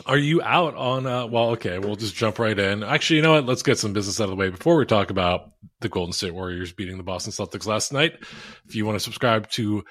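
A young man co-hosting a casual sports podcast over a call, with a monotone voice and a rambling manner, laughing at his own jokes. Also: lowish voice, fast, dry recording, studio quality. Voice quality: monotone, self-described oafish. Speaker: podcast co-host.

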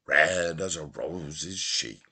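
A man plays a rough old seafarer from a literary audiobook, spinning yarns in colloquial talk. The voice is low and husky, boastful and impatient by temper. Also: animated, takes it slowly, sharp tone, full character voice. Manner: colloquial, ungrammatical seaman's talk.

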